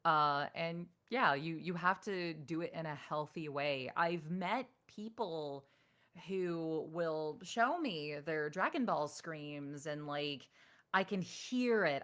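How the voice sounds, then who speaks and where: A woman voice actress in a casual interview, talking frankly and giving advice. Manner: animated, acts out quoted people in higher voice. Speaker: voice actress guest.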